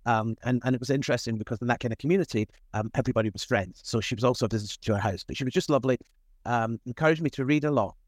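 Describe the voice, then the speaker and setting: A man's voice: mid-range voice, rapid-fire, no room sound. Modest man in his fifties, chatting, dry sound. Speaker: podcast guest, food safety executive. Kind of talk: casual reflective interview chat.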